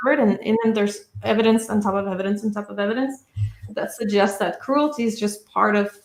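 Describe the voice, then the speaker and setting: A woman's voice: medium pitch, fairly even intonation, brisk, reverberant, rough recording. A woman interpreting in a discussion, carefully and hesitantly piecing the points together.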